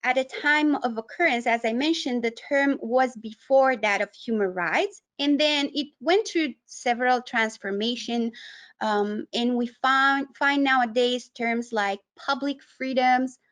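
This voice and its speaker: High-voiced Romanian woman presenting. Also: a little slow, a bit bright. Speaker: webinar presenter, human rights advocate. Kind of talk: educational webinar presentation.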